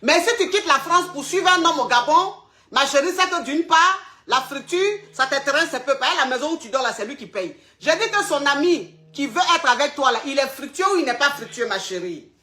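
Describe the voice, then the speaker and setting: Woman host, high bright voice, blunt advice, repeats herself, says ma chérie. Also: animated, fairly quick. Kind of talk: live call-in advice chat.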